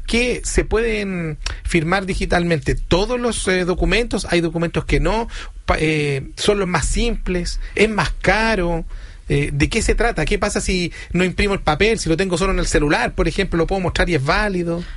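Host firing off questions. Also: male voice, high-pitched, expressive, normal speed, no room sound. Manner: chatty, strings several questions together. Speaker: interview host. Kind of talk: interviewer asking questions.

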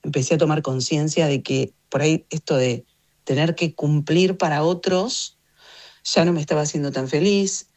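Argentine woman in her 50s, lowish voice, talking through stuff in an interview, explains things with emphasis. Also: fairly even intonation, normal speed, darkish tone. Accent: Argentine Rioplatense Spanish. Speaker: interview guest, journalist and communications consultant. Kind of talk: reflective conversational interview answers.

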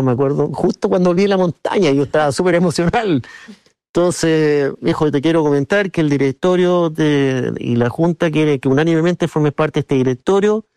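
Chilean guy being interviewed, voice a bit high, earnest and colloquial, tells little stories, really dry sound. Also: a little mellow, clear, resonant tone, dry recording. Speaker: interview guest, businessman and board member of a business council. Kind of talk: conversational interview answers with anecdotes.

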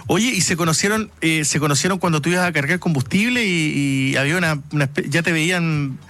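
A man's voice: a little high, fairly quick, fairly bright tone. A man with a Chilean accent hosting a late-night radio interview, asking long, chatty questions full of fillers.

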